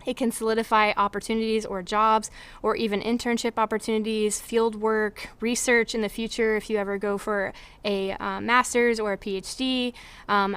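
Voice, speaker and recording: A woman's voice: mid-range voice, a bit bright, clear, resonant tone. A young woman advising students on study abroad, speaking earnestly with frequent filler words. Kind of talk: informational presentation.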